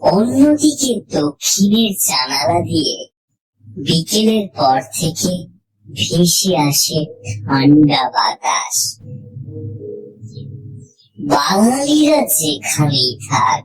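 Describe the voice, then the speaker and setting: A woman reading a scripted first-person horror story, in measured, deliberate phrases with pauses. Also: lowish voice, very animated, rough recording. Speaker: audio story narrator.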